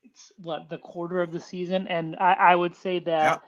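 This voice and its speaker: A man calling in to a sports show, talking casually with a nasal, high-pitched voice and rambling, stop-start restarts. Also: level tone, moderate pace. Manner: rambling, repeats words when starting a point. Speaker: caller. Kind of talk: casual sports call-in chat. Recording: dull lo-fi phone line.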